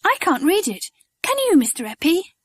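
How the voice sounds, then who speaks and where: Actress as storybook little girl, sweet and earnest. High, very expressive, gushing delivery. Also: moderate pace, dry recording. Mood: curious.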